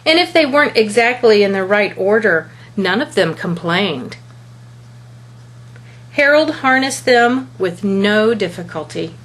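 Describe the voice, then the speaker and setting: A woman reads a picture book aloud for children at a very slow, measured pace. Her voice sits at a medium pitch and has a soft huskiness to it. She phrases each line deliberately and with expression, as a storyteller does. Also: animated.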